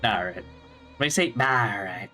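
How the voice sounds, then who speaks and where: A man chatting casually while streaming a game, rambling with restarts, his voice slightly hoarse. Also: on the low side, lively intonation, slow pace, pushed and bright, faint music behind. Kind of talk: casual gameplay stream chat. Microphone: gaming headset.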